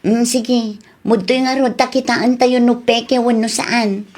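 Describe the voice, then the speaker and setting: A woman's voice: fairly high pitch, fairly even intonation, slow, darkish tone. A woman playing a sensible, helpful niece in a radio drama, speaking in an earnest, advising way. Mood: curious. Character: helpful, sensible adult niece giving advice. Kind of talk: Ilocano comedy radio drama acting.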